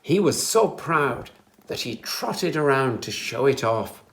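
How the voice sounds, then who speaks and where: Storyteller for young children: unhurried, very animated read-aloud, careful expressive phrasing, a touch of gravel. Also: medium pitch.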